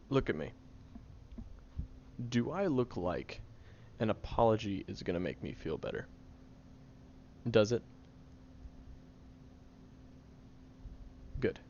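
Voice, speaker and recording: A man's voice: fairly low pitch, a little mellow. A man playing a sarcastic, exasperated tsundere young man who grudgingly looks after someone in an audio roleplay, speaking straight to the listener. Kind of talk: audio roleplay acting, spoken directly to the listener. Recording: dull lo-fi audio with faint noise.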